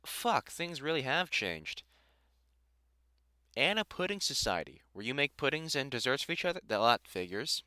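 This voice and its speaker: A man playing a gruff older vampire hunter in a roleplay audio drama, a fond, teasing mentor with dry sarcasm who grows halting when sentimental. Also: medium pitch, big pitch swings, sharp tone, dry recording. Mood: dry banter.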